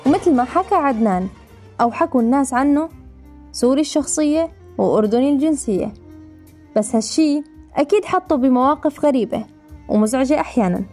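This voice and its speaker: High-voiced quick woman narrator. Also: expressive, a little mellow, soft background music. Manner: smooth, addresses the audience directly. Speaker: reporter narrating the segment. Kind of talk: scripted narration.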